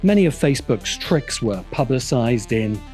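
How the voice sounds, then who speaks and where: A man's voice: medium pitch, lively intonation, moderate pace, darkish tone. A man delivers scripted, wry commentary in a mid-range voice. He sounds like a critical video essayist, with faint music running behind him. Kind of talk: scripted narration.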